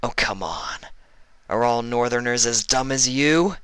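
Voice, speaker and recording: A man's voice: mid-range voice, unhurried, fairly bright tone, clear, resonant tone, dry recording, voice pushed up, natural voice. A man voicing a fiery, brave young female pony who is defiant and taunting, in a story reading.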